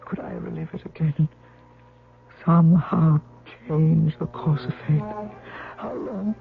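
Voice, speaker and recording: An actor in a 1970s radio drama playing a sad, guilt-ridden old man in halting, theatrical monologue. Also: a little high, vocal fry. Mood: desperate longing. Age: older. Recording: muffled vintage radio, music behind.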